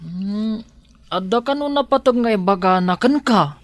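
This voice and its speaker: A man's voice: high voice, lively intonation, normal speed. A man acting as a dutiful household guard in a radio drama, deferential to his employer and brusque with others. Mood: wary, dutiful.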